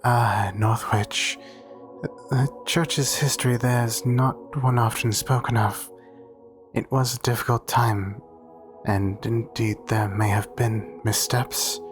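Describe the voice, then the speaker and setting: A man's voice: mid-range voice, fairly even intonation, takes it slowly, soft background music, close to an everyday voice. A man playing a meek, devout and easily flustered cathedral priest in a roleplay audio drama, speaking formally and hesitantly. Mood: nervous, hesitant.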